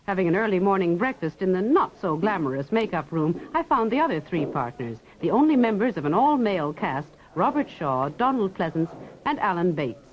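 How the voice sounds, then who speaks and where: A woman reporter giving polished, lively scripted newsreel narration and asking interview questions. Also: lowish voice, fairly quick. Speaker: reporter and presenter. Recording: dull 1960s film soundtrack.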